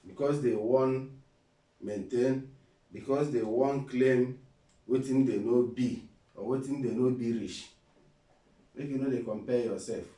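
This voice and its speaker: A man's voice: medium pitch, a little mellow, recorded from a distance. A Nigerian man with an Igbo accent giving a casual motivational talk as a vlogger, preachy, repeating phrases and asking rhetorical questions. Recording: roomy and a bit off-mic.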